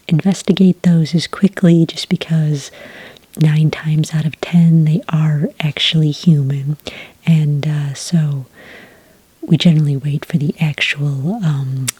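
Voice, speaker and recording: A woman speaking in a whispery, breathy soft voice, calm and methodical, as an agent in an ASMR roleplay, close to the microphone. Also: low voice, no room sound, poor audio. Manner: calm, methodical questioning with gentle pauses. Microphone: close microphone in a dry room.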